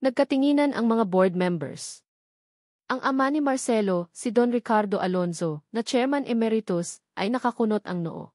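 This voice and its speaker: A woman's voice: on the high side, normal speed, a little mellow, no echo, studio quality. A woman with a Filipino accent reads a dramatic romance story as a scripted narration.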